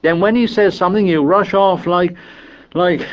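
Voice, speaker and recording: A man's voice: sits high, animated, a little slow, dull audio, pitched above the performer's own voice. A man voicing an indignant, fearful schoolboy who scolds and pleads in ungrammatical working-class British speech in an audiobook story.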